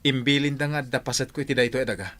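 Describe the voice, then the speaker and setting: A man's voice: medium pitch, fairly even intonation, very fast, a bit bright, close to an everyday voice. A man voicing an earnest younger brother in an Ilocano radio play, protesting against being cheated. He speaks in a middle register with an Ilocano accent. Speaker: radio drama actor.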